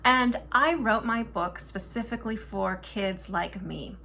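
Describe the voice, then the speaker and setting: A woman author from Minnesota talks in an earnest, heartfelt way about her novel. Her voice is bright and clear, with faint ambient noise behind it. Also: medium pitch, a little slow. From Minnesota, USA. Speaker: children's book author. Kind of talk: personal talk about her book.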